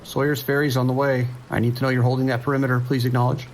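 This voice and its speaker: Man playing a gravelly, tense police dispatcher, rain behind. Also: mid-range voice, little pitch movement, racing, noisy background, lightly acted. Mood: urgent. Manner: clipped, procedural radio speech. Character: tense, dutiful male police dispatcher holding the line. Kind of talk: audio drama acting.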